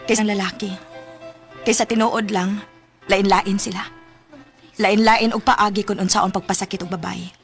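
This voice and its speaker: A woman's voice: mid-range voice, fairly even intonation, normal speed, vocal fry, pauses often, close to an everyday voice. An actress playing a heartbroken, pleading young woman in a radio drama, with a Cebuano accent. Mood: sad, resigned. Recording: music bed behind the voice.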